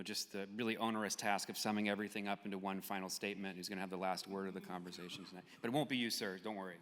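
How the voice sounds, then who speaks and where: A man hosting a live audience discussion, speaking off the cuff in a searching, halting way. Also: mid-range voice, bright, forceful tone. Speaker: host moderating an audience discussion. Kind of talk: spontaneous discussion moderating. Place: large hall at a live public event. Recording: echoey hall with long reverb.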